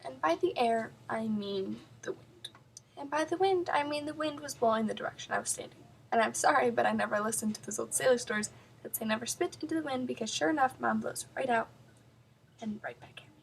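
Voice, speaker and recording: A woman's voice: fairly high pitch, gravelly, slight background noise. A woman performing a comedic stage monologue as a flustered, wryly self-deprecating grieving daughter, with dramatic swells and drops in her delivery. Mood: horrified, comic. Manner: dramatic, halting emphasis with big swells and drops. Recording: distant mic.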